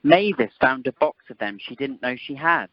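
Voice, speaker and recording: A woman's voice: a bass voice, expressive, normal speed, no echo. A woman playing a cheeky, cheerful male airport worker in an amateur radio comedy. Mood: breezy. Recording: dull, dry telephone conference line.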